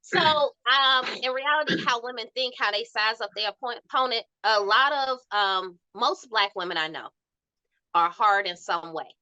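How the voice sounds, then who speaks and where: A young woman with a soft voice telling stories in a candid podcast chat, acting out quotes as she goes. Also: a little high, normal speed, no echo, highs cut off. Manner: animated storytelling with laughs and acted-out quotes.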